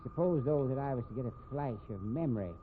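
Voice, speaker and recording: An actor playing a sly, grudging older working-class blackmailer in 1950s radio drama, folksy and ungrammatical, repeating himself. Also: male voice, medium pitch, fast talker, lightly acted. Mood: sly, wheedling. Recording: tinny, noisy vintage radio recording.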